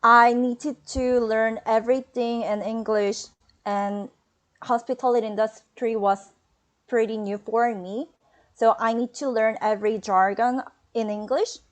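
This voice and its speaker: A woman whose first language is Korean speaks English with a Korean accent. Her voice sits a little high, and her phrasing is halting, full of fillers as she hunts for words. She talks about her studies in an unscripted webinar interview. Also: fairly even intonation. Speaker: former student.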